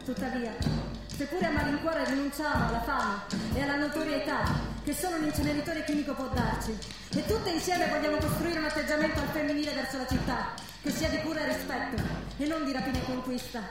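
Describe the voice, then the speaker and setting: Actress doing a defiant town woman making a proclamation, radio theatre, super echoey, loud music. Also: sits high, brisk, natural voice. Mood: defiant, solemn. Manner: declamatory, rhetorical repetition. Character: defiant townswoman proclaiming and reading statements. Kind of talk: theatrical declamation in a stage radio play. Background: live music.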